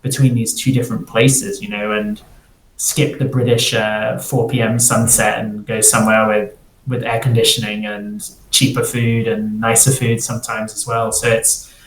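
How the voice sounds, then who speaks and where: A man's voice: fairly low pitch, flat delivery, moderate pace. A man who grew up in Singapore, a freelance developer, talking casually in an interview and rambling with many restarts. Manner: rambling, restarts often, fills with "like". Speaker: guest, freelance front-end developer. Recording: roomy echo, faint ambient noise.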